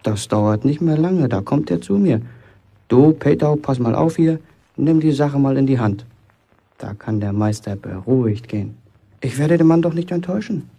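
Cocky young apprentice, gravelly voice. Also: male voice, medium pitch, expressive, moderate pace, mellow. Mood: boastful. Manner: boastful, chatty inner monologue, colloquial. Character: boastful teenage village apprentice bricklayer, self-important, eager to impress. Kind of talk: German radio play acting, first-person monologue.

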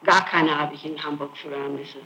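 A middle-aged woman answering questions in an interrogation, insistent and flatly contradicting, on an archival tape recording. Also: low-pitched, brisk, echoey room, muffled recording, slight background noise. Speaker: suspect under interrogation.

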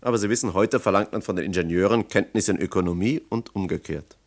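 An actor playing an earnest, dutiful East German factory official in a radio play, speaking in an official, insistent manner. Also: male voice, sits low, fast talker, vocal fry. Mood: earnest, businesslike.

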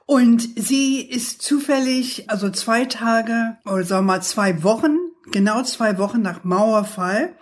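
A woman's voice: fairly high pitch, measured pace, hardly any pauses. An older woman from the United States, a native English speaker, chatting as an interview guest, often searching for words and adding tag questions. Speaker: guest, author. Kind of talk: casual conversational interview.

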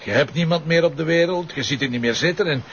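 Flemish cop chief, crime drama, creaky voice, bossy and decisive, muffled lo-fi sound. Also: male voice, on the high side, fast talker, a bit bright. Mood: firm, insistent. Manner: curt, authoritative. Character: decisive, stubborn male police commissioner running a risky plan. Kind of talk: television crime drama acting. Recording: dull lo-fi audio with faint noise.

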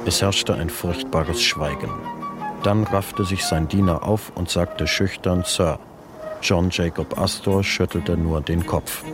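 An actor playing a sober chronicler of a disaster in a radio play, deliberate and matter-of-fact, in standard German. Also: male voice, deep voice, steady tone, music in the background. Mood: sober, grave. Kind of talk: scripted radio play narration.

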